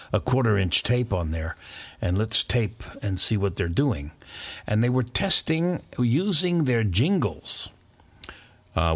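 Older man with a very deep voice telling radio stories. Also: no echo. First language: not French; English speaker. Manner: rambling, anecdotal, with fillers and chuckles. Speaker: former pirate radio DJ, guest. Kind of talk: relaxed storytelling interview.

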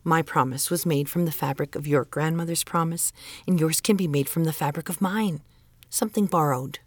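A woman's voice: sits low, normal speed, no echo. A woman playing a stern, exasperated middle-aged mother who holds to tradition, in a naturalistic audio drama, insistent and scolding. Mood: earnest, coaxing. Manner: insistent, guilt-laden pleading and scolding.

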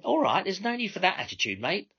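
A brash woman runs a minicab desk in a storybook tale, played by a man. The voice is pitched well up and a little husky, bossy under a thin coat of mock courtesy. The sound is slightly dull. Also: big pitch swings, fast talker, sharp tone, full character voice. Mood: sarcastic. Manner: insincerely courteous, bossy, sweary. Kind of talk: storybook character dialogue.

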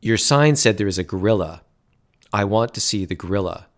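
A man voicing an excitable, stubborn middle-aged father who talks insistently and grows indignant. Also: lowish voice, fairly quick. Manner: insistent, growing loud and indignant.

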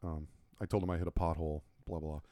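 A man's voice: extremely low pitch, level tone, fast talker, warm tone, no room sound, studio quality. A man co-hosting a casual podcast chat, rambling and self-mocking as he tells stories. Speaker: podcast co-host and logo designer.

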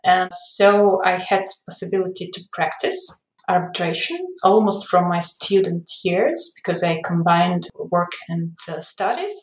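A woman's voice: lowish voice, measured pace, hardly any pauses, roomy sound, poor audio. A woman in her forties, a lawyer being interviewed on a podcast, who explains things carefully with small slips in her English. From Ukraine. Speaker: arbitration lawyer, guest.

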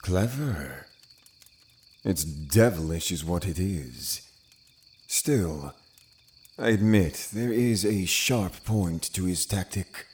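Grave old puritan uncle, period radio play, low voice, formal, takes his time. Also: animated, slow, long pauses, a little mellow. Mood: grave, scornful. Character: stern, pious older Puritan uncle and official. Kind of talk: period audio drama acting.

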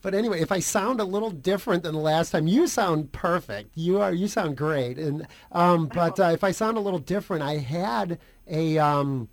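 Hoarse, higher-pitched talk radio host. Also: male voice, no room sound. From Chicago area. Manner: joking, halting with many fillers. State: hoarse from a flu. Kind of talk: casual radio interview chat.

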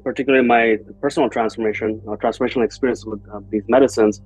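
A man's voice: mid-range voice, steady tone, rapid-fire, darkish tone, slightly rough, soft background music, poor audio. A man in his forties from Bangladesh, a guest entrepreneur talking in an earnest, reflective way, with many fillers. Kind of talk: conversational interview.

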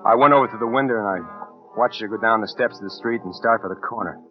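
A man's voice: on the low side, fast, natural voice. An actor playing an edgy, slangy American gunman in a 1940s radio crime drama, talking tough and clipped. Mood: wary narration. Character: edgy, hard-boiled gunman narrating and bullying his girlfriend. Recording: muffled 1940s radio recording, faint music.

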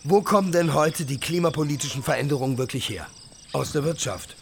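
A man's voice: on the high side, a little fast, gravelly, a little hiss or hum. A man playing a slick, self-promoting influencer entrepreneur in a satirical audio drama, bragging and flirty, dropping English buzzwords. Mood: smug, preachy. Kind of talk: satirical podcast radio drama.

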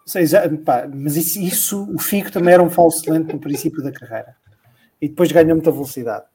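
A man's voice: on the high side, fast, crowd noise. A man co-hosting a casual podcast, rambling and thinking aloud with many repeats. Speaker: podcast co-host. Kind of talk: casual podcast chat about motor racing.

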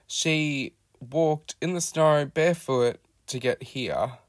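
An Australian man telling his own life story in a reflective, halting way, often pausing to search for words. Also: a little high, dry recording, clean studio sound. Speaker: podcast guest sharing his life story. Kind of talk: personal storytelling monologue.

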